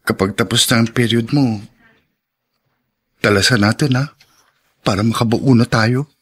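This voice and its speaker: An actor playing a polite, playful young husband who is easily flustered, in a radio drama, with a Filipino accent. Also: male voice, medium pitch, steady tone, unhurried, a bit bright, husky. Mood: coaxing.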